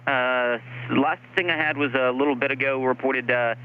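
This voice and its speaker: A man's voice: medium pitch, steady tone, moderate pace. A man working as an air traffic controller, giving clipped, procedural instructions over aviation radio. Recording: muffled aviation radio with faint noise.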